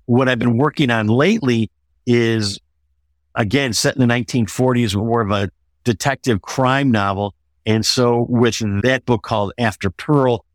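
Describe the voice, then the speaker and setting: A man's voice: mid-range voice, dry recording. A man talking as a guest author in an interview, discussing his own work conversationally.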